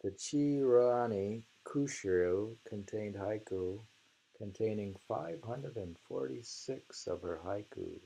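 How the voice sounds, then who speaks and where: An older man reads poems and literary commentary aloud, haltingly, pausing to murmur and muse between lines. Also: fairly low pitch, gravelly, mellow, poor audio. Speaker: reader of haiku and commentary. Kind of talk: reading poems and literary criticism aloud with informal asides.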